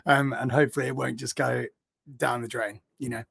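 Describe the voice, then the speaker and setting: A man's voice: mid-range voice, steady tone, brisk, gravelly, fairly bright tone, husky. A man chatting casually on a podcast in a playful, rambling manner. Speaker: podcast panellist. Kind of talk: casual chat.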